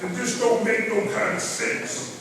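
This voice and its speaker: A man's voice: high-pitched, measured pace. Emphatic man speaking in an echoey hall. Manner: emphatic, preachy, street slang. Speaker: community group member. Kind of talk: impassioned speech at a meeting. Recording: echoey room, distant mic, crowd.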